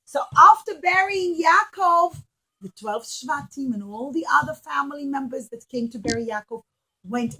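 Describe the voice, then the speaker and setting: High sharp lady voice doing storytime for little kids, expressive, acts out what the characters say, asks them questions. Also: slightly rough, rough recording. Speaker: children's religion teacher. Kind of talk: storytelling puppet show lesson for young children.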